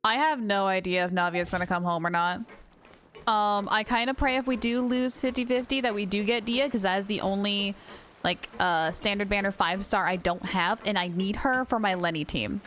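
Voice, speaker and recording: A woman livestreamer chatting casually over a game, with an excitable, gushing manner. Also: medium pitch, moderate pace, slight background noise. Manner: excitable, gushing, baby-talks to her dog. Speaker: live game streamer. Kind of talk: casual livestream chat while gaming. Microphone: streamer's mic, wearing headphones.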